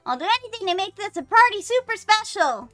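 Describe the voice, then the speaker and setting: Need a woman doing a perky young anime girl: very high, bright, sweet and earnest, music faintly behind. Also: big pitch swings, brisk, no room sound, full character voice. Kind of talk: reading visual novel dialogue aloud.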